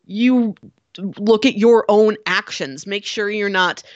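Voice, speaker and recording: A woman's voice: mid-range voice, slow pace, no echo, highs cut off. A woman co-hosting a casual film discussion, acting out imagined lines of dialogue as she explains. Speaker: podcast co-host discussing films.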